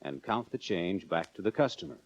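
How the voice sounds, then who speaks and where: An American man narrating a 1940s instructional film in a measured, instructive manner, addressing the viewer directly. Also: lowish voice, sing-song, vocal fry. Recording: dull lo-fi 1940s film soundtrack.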